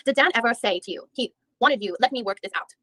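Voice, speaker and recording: A woman's voice: fairly high pitch, animated, quick pace, a bit bright, no echo. A woman reading the part of a female attorney who questions a witness methodically, with frequent restarts. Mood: probing. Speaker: reader in a deposition reenactment. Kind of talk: read-aloud legal reenactment.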